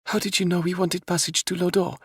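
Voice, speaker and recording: A man's voice: high voice, flat delivery, a little fast, a bit bright, slightly rough, no room sound, clean studio sound, pitched above the performer's own voice, heavily acted. A man voicing a young princess who speaks with urgent, proud insistence.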